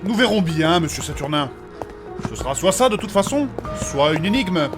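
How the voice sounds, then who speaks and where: A man's voice: high voice, animated, normal speed, over music. A man playing a formal, courteous, earnest and moralizing companion in a comedic fantasy audio drama. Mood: calm, courteous.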